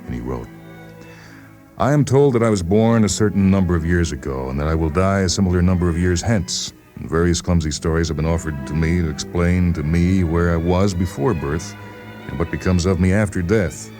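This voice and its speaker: Really low male voice, brooding story narrator, deliberate w/ dramatic pauses, music playing behind. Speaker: narrator reading a short story. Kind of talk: dramatic literary story reading.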